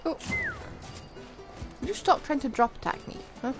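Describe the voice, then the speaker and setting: Animated woman game streamer, chatty. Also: sits high, measured pace. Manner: chatty, playful asides to game characters. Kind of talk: casual live gameplay commentary. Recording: prominent game music behind.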